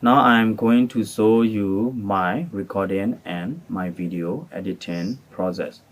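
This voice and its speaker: A man's voice: on the low side, slow. An English-speaking man from Thailand, making a formal, careful prepared appeal as a channel creator. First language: not English. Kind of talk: prepared spoken appeal to camera.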